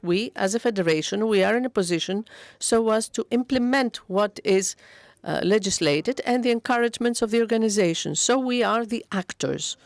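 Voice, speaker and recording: A woman interpreting a speech into English at a conference, steady but with frequent hesitations and self-corrections. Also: mid-range voice, no room sound.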